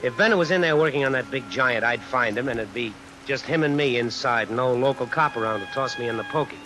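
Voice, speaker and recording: A man's voice: mid-range voice, fairly quick, pushed and bright, slight background noise, close to an everyday voice, rough recording. A man acting a tough, vengeful drifter who narrates his own story in old-time radio suspense style, wry and slangy. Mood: grim, musing. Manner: hard-boiled, wry, slangy. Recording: vintage radio recording under music, rain. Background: music and rain.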